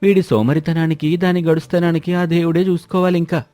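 A man voicing a wily, persuasive village matchmaker in a coaxing, drawn-out way for an animated moral story. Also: on the high side, soft background music, pitched above the performer's own voice, lightly acted. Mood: wry.

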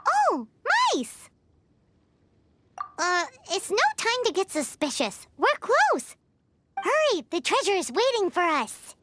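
A woman voicing a tiny, excitable, childlike fairy companion in a video game, bubbly and full of exclamations. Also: very high voice, takes it slowly, pauses often, background noise, full character voice. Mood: excited. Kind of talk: video game character acting. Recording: loud game music behind. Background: game music.

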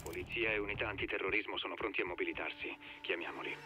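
An actor playing a focused, grim special forces soldier in a video game, reporting in urgent, clipped tactical phrases. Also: male voice, low-pitched, quick pace, over music, lightly acted. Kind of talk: cinematic video game acting.

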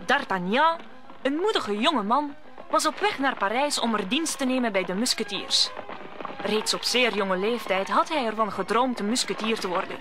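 A woman's voice: fairly high pitch, expressive, moderate pace, over music. A woman narrating a scripted radio play as a storyteller.

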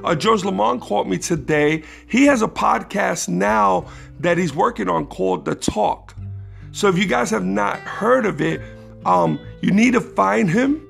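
A man hosting his own podcast in a casual, rambling monologue, his voice raspy, with many restarts that turn emphatic. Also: high-pitched, a little slow, fairly bright tone, soft background music. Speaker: podcast host.

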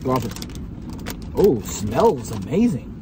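A man's voice: medium pitch, takes it slowly. A young man hosting a casual snack taste test from inside a parked car, reacting with chatty enthusiasm. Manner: chatty, animated food reactions. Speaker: vlog host. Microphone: camera on the dashboard. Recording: car interior with ambient noise.

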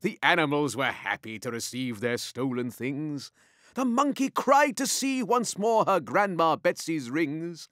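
A man's voice: on the high side, very animated, a little slow, a bit bright, no room sound, clean studio sound. A man narrating a rhyming story in a dramatic, scripted storyteller's delivery.

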